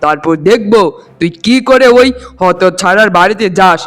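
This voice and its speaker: A man's voice: high-pitched, normal speed, a bit bright. A man voicing a rich husband in a melodramatic cartoon, speaking in an overwrought way and repeating words for emphasis. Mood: scornful, threatening. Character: greedy, arrogant rich husband and younger brother. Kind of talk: melodramatic Bengali cartoon dialogue.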